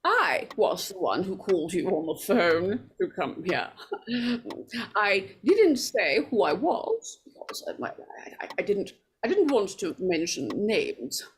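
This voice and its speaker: An actress playing a nervous, evasive, scheming married woman in 1940s radio horror style, stammering and halting as she talks. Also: mid-range voice, very animated, slight background noise. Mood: evasive, uneasy.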